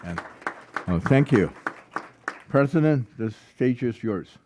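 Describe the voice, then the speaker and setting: Slow, halting man moderating a panel. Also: medium pitch, lively intonation, crowd noise, background noise. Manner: halting, hesitant, friendly. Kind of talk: introducing speakers.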